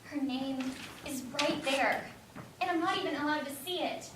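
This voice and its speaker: A frustrated, insistent young woman, played by an actress in a stage play. Her voice is on the high side, heard from far off in an echoey space with clear background noise. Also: moderate pace, natural voice.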